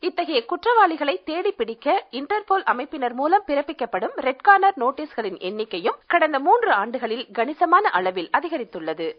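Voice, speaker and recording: Lively high-voiced woman narrating news report. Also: fairly quick, hardly any pauses. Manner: formal, carefully paced reading. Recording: muffled radio broadcast audio.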